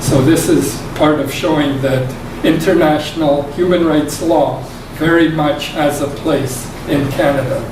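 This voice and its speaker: Soft-spoken man lecturing in a hall. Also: fairly high pitch, steady tone, a little slow, hardly any pauses. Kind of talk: public lecture. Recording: echoey hall, far from mic, noisy.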